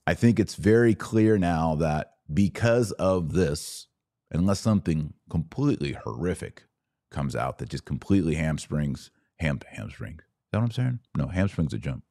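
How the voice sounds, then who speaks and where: Deep-voiced man giving emphatic live commentary. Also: animated, dark tone. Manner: emphatic, deliberate, repeats phrases for stress. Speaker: podcast host and commentator. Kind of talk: live political and religious commentary.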